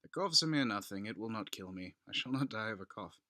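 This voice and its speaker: A man's voice: fairly low pitch, expressive, brisk, sharp tone, no echo. A man playing a drunken, boastful Italian wine connoisseur in a dramatic audiobook reading, exclamatory and dismissive. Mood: stubborn.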